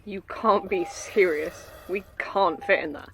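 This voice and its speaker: A woman's voice: fairly low pitch, measured pace, gravelly, fairly bright tone, a little hiss or hum. A woman playing a wary, doubtful newcomer in a sci-fi horror audio drama, with a questioning, hesitant manner. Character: wary, doubtful female newcomer visiting the facility. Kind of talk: science fiction horror audio drama acting.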